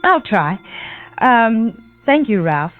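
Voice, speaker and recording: A woman's voice: a little high, sing-song, drawn-out words, heavily acted. A woman voicing a frightened wife home alone, phoning a late-night radio show in an audio drama over a phone line. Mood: frightened, uneasy. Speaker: radio caller. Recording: thin tinny phone line, music behind.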